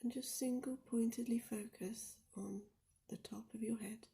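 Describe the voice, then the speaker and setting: A woman guides a body scan meditation in a mellow, relaxed voice with a creaky edge. Her delivery is soft and soothing, often sinking close to a whisper, and she leaves gentle pauses between phrases. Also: on the high side. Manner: slow and deliberate with gentle pauses.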